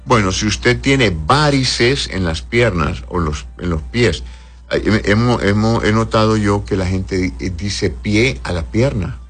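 A man's voice: lowish voice, a little slow, a bit bright, husky. A male doctor answering listeners' health questions in a patient, explanatory way, often checking "right?" and calling patients "my love".

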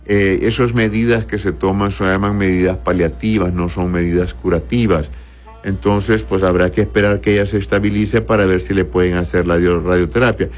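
Male Honduran doctor, low voice, informal advice to an audience with halting rephrasing, faint music underneath. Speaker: doctor answering audience health questions. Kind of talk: informal medical advice, reading questions aloud.

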